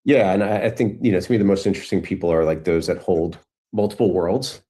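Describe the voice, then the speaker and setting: A man's voice: sits low, fast talker, dark tone. A man speaking as a guest in casual conversation, with hesitant, reflective phrasing. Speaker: guest, entrepreneur.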